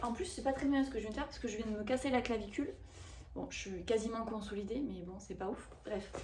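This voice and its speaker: Woman, 30s, high-pitched, chatty haul vlogger who rambles through asides. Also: fairly even intonation, fast. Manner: chatty and rambling, with frequent fillers and self-corrections. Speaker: vlogger reviewing a shopping haul. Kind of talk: casual haul chat to camera.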